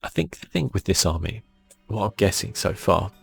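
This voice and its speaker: Male ASMR gamer: very low, creaky voice, hushed musing commentary, faint music underneath. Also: very animated, moderate pace, no room sound. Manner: casual, musing, thinking aloud. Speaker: gaming channel host. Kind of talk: ASMR video game commentary.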